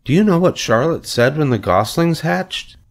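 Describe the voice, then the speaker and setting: A man's voice: a little high, very animated, moderate pace, voice pushed up. A man playing a young farm girl in a storybook, chatting in an eager, matter-of-fact, childlike way.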